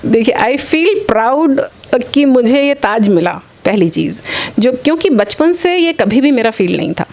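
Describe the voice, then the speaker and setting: A woman's voice: high-pitched, lively intonation, measured pace, darkish tone. A woman in her late forties answering interview questions earnestly, mixing English phrases into her speech. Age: late 40s. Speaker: interview guest, athlete and former air force officer.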